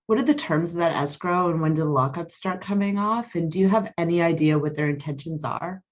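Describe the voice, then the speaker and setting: A woman's voice: lowish voice, brisk. A woman asking a company CEO direct, pointed questions at a conference. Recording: dull lo-fi audio.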